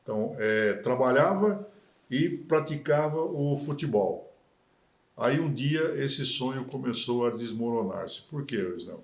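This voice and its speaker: A Brazilian man hosts a television interview in a measured, emphatic announcer's manner and pauses for effect. His voice sits at an ordinary pitch. The studio adds a noticeable echo. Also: expressive. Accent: Brazilian Portuguese. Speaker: TV interview host. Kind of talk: formal TV interview.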